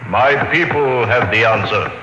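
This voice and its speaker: A man's voice: medium pitch, expressive, unhurried, roomy sound, big-room sound, close to an everyday voice. A man voicing a terse, enigmatic alien native with solemn, guarded manner in a vintage radio drama. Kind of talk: 1950s radio drama acting. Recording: noisy vintage radio recording.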